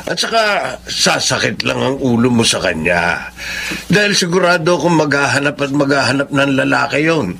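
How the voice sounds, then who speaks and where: A man's voice: mid-range voice, lively intonation, moderate pace, gravelly, pushed and bright, a little hiss or hum. A man playing a middle-aged, protective and opinionated Filipino father in a radio drama, speaking bluntly with a Filipino accent. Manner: blunt, paternal.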